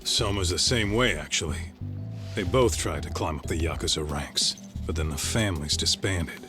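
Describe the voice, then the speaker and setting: A man as a low, blunt streetwise tough guy. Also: normal speed, over music, natural voice. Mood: matter-of-fact. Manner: blunt, offhand, slangy. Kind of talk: English video game dub acting.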